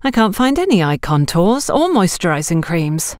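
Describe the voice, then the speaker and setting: A woman plays a young sales girl in an audiobook story. She is courteous, eager and deferential, with lively melody in a close, dry sound. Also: on the low side, normal speed, no room sound. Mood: defensive. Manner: polite, deferential. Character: polite, eager young female shop assistant.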